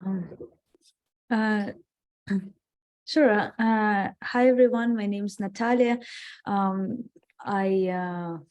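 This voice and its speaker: A woman's voice: mid-range voice, steady tone, a little mellow. A woman with a Russian accent, a native Russian speaker, speaking hesitantly as a commissioner at a meeting. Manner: hesitant, halting. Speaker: new commissioner. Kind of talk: informal self-introduction at a meeting.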